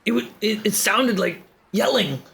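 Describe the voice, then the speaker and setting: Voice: mid-range voice, animated, moderate pace, vocal fry, a bit bright, voice pushed up. A game master voicing Quint, a nervous young man who stumbles over his words. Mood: flustered. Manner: hesitant, stumbling restarts.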